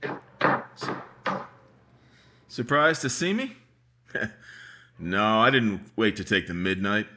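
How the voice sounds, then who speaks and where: A man's voice: fairly low pitch, sing-song, slow pace, long pauses, heavy background noise. An actor playing a confident sheriff in a radio drama, laying out how he caught the killer. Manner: explaining, self-assured. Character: confident male sheriff, triumphant.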